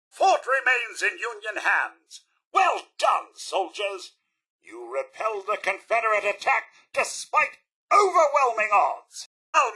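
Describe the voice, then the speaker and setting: A man's voice: high-pitched, bright, forceful tone, husky, full character voice. A man voicing a courteous, chipper robot butler in a video game, with a British accent and a formal, theatrical manner. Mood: rousing, theatrical. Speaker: robot servant and tour guide. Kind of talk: video game character voice lines.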